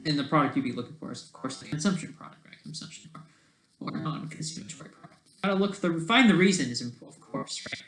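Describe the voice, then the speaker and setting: Casting: amateur vlogger, medium pitch, rambling unscripted commentary, restarts sentences and checks in with "right?". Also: expressive, quick pace, a bit bright, low-quality recording. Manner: tags statements with "right?"; rambling, trails off mid-sentence. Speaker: amateur video creator.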